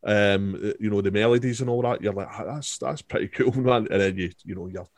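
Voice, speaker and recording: A man, chatting about an album as a podcast co-host, halting and full of restarts. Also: on the low side, no room sound. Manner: halting, many fillers and restarts. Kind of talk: casual album discussion.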